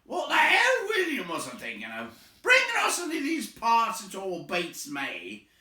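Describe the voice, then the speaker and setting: A man voicing hungry, coarse trolls who grumble loudly in rustic slang for a storybook. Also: high voice, wildly swooping pitch, unhurried, roomy sound, pitched above the performer's own voice, full character voice. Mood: disgruntled. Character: grumbling, hungry, coarse male troll complaining about food.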